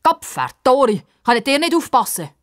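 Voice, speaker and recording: A woman's voice: high voice, expressive, moderate pace, pitched above the performer's own voice. A woman voicing a grumpy, blustering old man in a children's story. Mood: angry, scolding. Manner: blustering, scolding, muttering. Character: grumpy, easily startled old man, cursing and grumbling.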